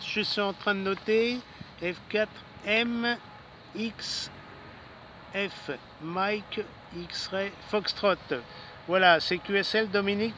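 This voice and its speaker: A man's voice: high voice, sharp tone. A man chatting casually as an amateur radio operator in a friendly way, speaking outdoors in a city. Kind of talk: casual ham radio exchange. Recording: outdoor city ambience and traffic noise.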